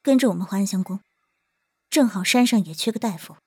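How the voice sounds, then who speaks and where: 女声优演的冷淡强势女子，声音微哑. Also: female voice, mid-range voice, brisk, husky, close to an everyday voice. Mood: cool, insistent. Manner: curt, aloof. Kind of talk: Chinese costume audio drama acting.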